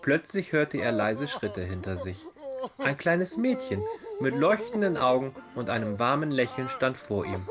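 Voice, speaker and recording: A man's voice: medium pitch, wildly swooping pitch, a little slow. A man narrating a fairy tale as a storyteller in a dramatized reading. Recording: music behind the voice. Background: background music.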